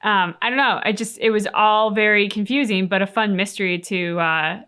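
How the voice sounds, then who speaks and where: Female reaction co-host, medium pitch, casual, thinks aloud and poses questions. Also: steady tone, moderate pace, bright, forceful tone, clean tone. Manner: musing, questioning. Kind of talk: casual chat reacting to game cutscenes.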